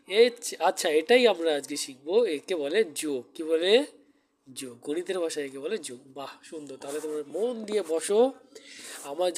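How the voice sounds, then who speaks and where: A man's voice: a little high, a little mellow. A man speaking as a teacher to a class of young children, asking questions and repeating key words. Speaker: maths teacher giving a demo class. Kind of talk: primary school lesson addressed to children.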